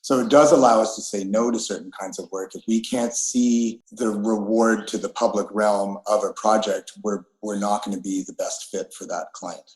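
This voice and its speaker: Deep-ish guy architect talking earnestly about his firm, kinda echoey room. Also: fairly even intonation. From Canada. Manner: earnest, deliberate, principled. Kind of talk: podcast interview answer. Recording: roomy echo.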